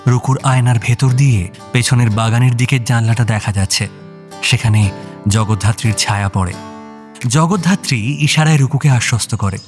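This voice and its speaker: A man's voice: medium pitch, normal speed, soft background music. A man narrating a scripted audio story.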